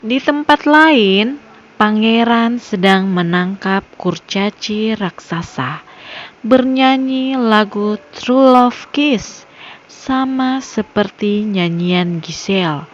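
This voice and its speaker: A woman narrating a film recap in a slow, measured, scripted reading style. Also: mid-range voice, expressive, muffled recording.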